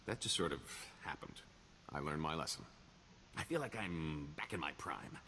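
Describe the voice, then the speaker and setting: An actor playing a roguish, glib smuggler and con artist in a video game, with a wry, theatrical delivery. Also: male voice, rumbling low, lively intonation, normal speed, bright, forceful tone, a little hiss or hum, full character voice. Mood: upbeat, boastful. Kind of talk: video game character dialogue.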